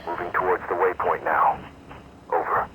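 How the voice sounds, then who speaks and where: A man playing a serious, measured astronaut reporting by radio from the lunar surface in a game. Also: low voice, fairly even intonation, noisy background. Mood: grave. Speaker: astronaut character. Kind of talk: game voice acting.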